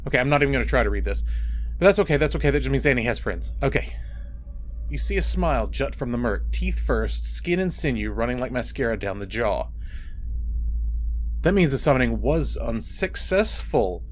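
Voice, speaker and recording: A man's voice: medium pitch, expressive, fairly quick, a little hiss or hum. A man reading a horror story aloud as a host, in an informal way that makes room for casual, sweary asides.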